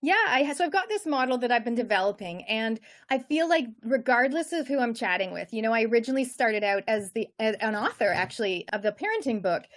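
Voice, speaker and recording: A woman's voice: on the high side, fast, hardly any pauses. A middle-aged woman with a Canadian accent, a coach talking energetically and chattily as a guest in an interview. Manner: energetic, chatty, many fillers. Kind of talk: casual interview chat.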